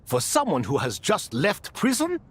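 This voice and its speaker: A courteous, theatrical gentleman in a video game. His husky voice swings very widely in pitch through formal, flowery, eloquent phrases. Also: wildly swooping pitch, normal speed, heavily acted. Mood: wistful, gracious.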